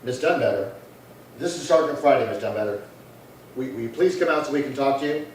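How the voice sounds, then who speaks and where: A man playing a calm, dutiful police sergeant in an old-time radio crime drama, speaking in a clipped, matter-of-fact, polite way. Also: medium pitch, fairly quick, natural voice. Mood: polite, probing. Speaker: actor. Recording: echoey room from far off, faint noise.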